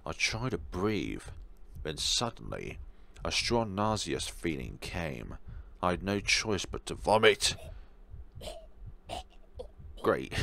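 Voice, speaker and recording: A man reading a horror game aloud and commenting on it in a theatrical way, given to sudden loud outbursts. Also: fairly low pitch, gravelly, no echo. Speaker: let's player. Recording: background music behind the voice. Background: game music.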